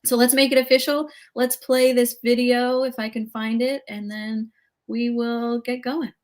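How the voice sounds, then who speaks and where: A woman's voice: fairly high pitch, little pitch movement, measured pace. A woman hosting a live local talk show in an upbeat, chatty, enthusiastic and conversational way.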